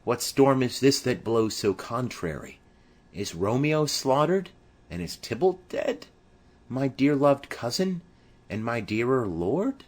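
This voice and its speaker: A man's voice: medium pitch, sing-song, slow, pitched above the performer's own voice, heavily acted. A man voicing an impassioned, lovesick young noblewoman in declamatory Shakespearean verse for an audiobook. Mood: anguished. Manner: declamatory, poetic.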